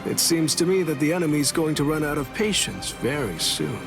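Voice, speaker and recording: A man's voice: on the high side, big pitch swings, moderate pace. A man playing a cocky, laid-back rebel swordsman in an anime game, talking in a blunt, wry, casual way. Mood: wry, defiant. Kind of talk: anime game cutscene dialogue. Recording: dialogue over clear background music.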